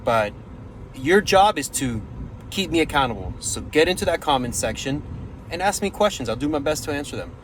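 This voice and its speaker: A man's voice: fairly high pitch, expressive, fairly quick, rough recording. Candid rambling man vlogging from his car. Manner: rambling, candid, self-deprecating. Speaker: vlogger running for county mayor. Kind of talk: casual self-filmed vlog. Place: inside a car, then outdoors downtown. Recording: street and car noise behind.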